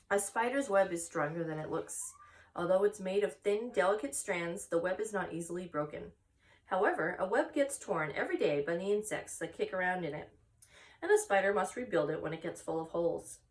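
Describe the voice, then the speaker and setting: Woman doing story time, really animated reading of a kids book, a bit far from the mic. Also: lowish voice, moderate pace. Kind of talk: expressive narration of a children's book.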